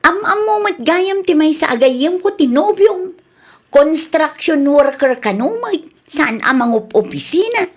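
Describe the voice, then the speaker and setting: A woman's voice: sits high, very animated, measured pace. A woman playing a blunt, nosy character in an Ilocano radio comedy drama, speaking with an Ilocano accent. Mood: warning.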